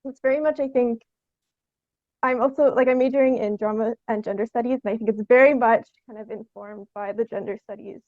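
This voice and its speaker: A woman's voice: on the high side, no room sound, phone-quality sound. A young adult woman chatting informally as a theatre artist in an interview, with a giggly manner. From Canada. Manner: informal, often giggling mid-sentence. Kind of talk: casual interview chat.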